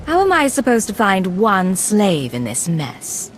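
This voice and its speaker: A woman's voice: mid-range voice, wildly swooping pitch, unhurried, slight background noise. An actress playing a tough, sardonic female secret agent in a video game, with a dry, cool, menacing manner. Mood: dry, questioning. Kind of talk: scripted video game dialogue.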